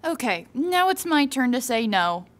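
A woman's voice: sits high, very animated, measured pace. A young woman playing an eager, caring female college student in an audio drama. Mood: warm, eager. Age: young adult. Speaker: student actor.

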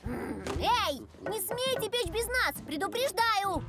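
Very high-pitched, plucky girl gnome. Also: sing-song, exaggerated voice. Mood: indignant. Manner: brisk, cheeky, commanding. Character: plucky, bossy, upbeat young female gnome. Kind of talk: animated film dubbing. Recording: loud music behind the voice.

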